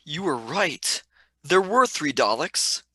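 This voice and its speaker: A woman acts out an excitable, sceptical young companion in a radio sci-fi serial. The voice is husky and swings widely in pitch, with a sharp, forceful edge. The talk is plain and slangy. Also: slow, no echo, studio quality, exaggerated voice. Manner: exclamatory, plain-spoken British slang.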